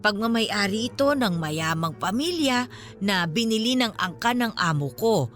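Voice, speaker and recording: Lively, folksy elderly female caretaker. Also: mid-range voice, measured pace, soft background music. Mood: chatty, obliging. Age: older. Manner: chatty, exclaiming, bashful. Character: elderly woman caretaker of an old house, modest, folksy. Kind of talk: Filipino radio drama acting.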